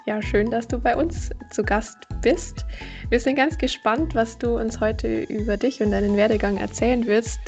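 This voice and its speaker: A woman's voice: on the high side, steady tone, normal speed, music in the background. A woman co-hosting a podcast, asking friendly, curious questions. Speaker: podcast co-host. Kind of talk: podcast interviewing.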